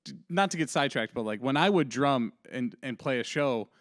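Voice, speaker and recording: A man's voice: medium pitch, lively intonation, brisk, no room sound, clean studio sound. A man hosting a podcast, easygoing and agreeable in casual chat. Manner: easygoing, agreeable, laughs often. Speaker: podcast host.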